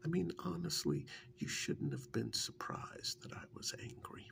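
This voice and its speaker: Man acting a gravelly, half-whispering, fondly wry boyfriend. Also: on the high side, wildly swooping pitch, exaggerated voice. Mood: wry, reflective. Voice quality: gravelly, breathy, often dropping to a whisper. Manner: halting, musing, unhurried. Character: wry, affectionate kidnap victim fondly reminiscing to partner. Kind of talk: soft-spoken intimate ASMR role-play.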